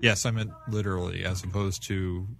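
A man's voice: low-pitched, fairly even intonation, moderate pace, vocal fry, a bit bright, slight background noise. A man chatting casually as a panelist on a comics podcast.

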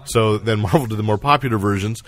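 A man's voice: fairly low pitch, fast talker. A man hosting a podcast, chatting casually and explaining things about comics and films at length. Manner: talkative, explanatory. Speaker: podcast host.

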